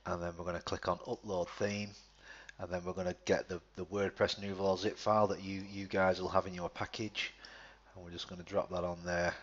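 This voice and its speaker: A man presenting a screen-recorded software tutorial, talking step by step with frequent restarts and fillers. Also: deep voice, flat delivery, fairly quick, breathy edge, lo-fi sound.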